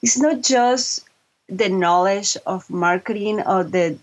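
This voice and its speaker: A middle-aged woman from Mexico, a Spanish speaker, earnestly answering interview questions with frequent restarts. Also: medium pitch. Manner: earnest, restarts often, halting then quick. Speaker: guest, voiceover artist and marketing consultant. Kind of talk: interview conversation.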